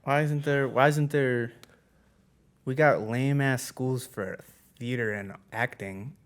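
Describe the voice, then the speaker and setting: A man's voice: mid-range voice, slow. A young man co-hosting a podcast, joking around and going off on mock rants on a small studio stage. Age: young adult. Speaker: podcast co-host. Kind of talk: casual chat. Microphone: handheld mic.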